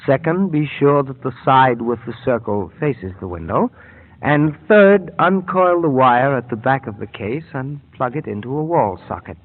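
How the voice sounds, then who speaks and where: An actor playing a scheming scientist who explains things in a measured, formal way, in 1930s radio style. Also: male voice, mid-range voice, expressive, natural voice. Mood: earnest, formal. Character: smooth scheming scientist posing as earnest inventor. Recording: old muffled radio broadcast.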